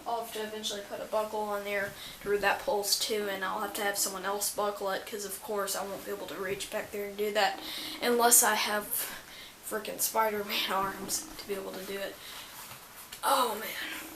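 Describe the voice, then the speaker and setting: The voice is medium in pitch, with an eager, casual show-and-tell delivery. It belongs to an enthusiastic cosplay vlogger. The recording rings with room echo and has a faint layer of background noise. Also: flat delivery, normal speed, bright, forceful tone. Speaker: cosplay vlogger showing homemade armor. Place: indoor room, echoey.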